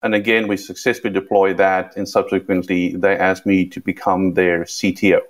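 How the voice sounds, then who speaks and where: Middle-aged South African guest, very low voice, measured, repeats words at starts. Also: male voice, little pitch movement. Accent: South African, somewhat Americanized with a British touch. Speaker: guest, tech executive. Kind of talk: podcast interview.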